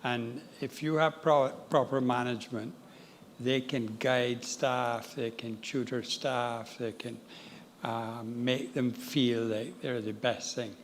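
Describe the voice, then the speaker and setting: Slow, deliberate man in reverberant room. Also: medium pitch, fairly even intonation, a little hiss or hum. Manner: slow, deliberate, uses plain analogies. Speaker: panelist. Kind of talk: webinar panel discussion.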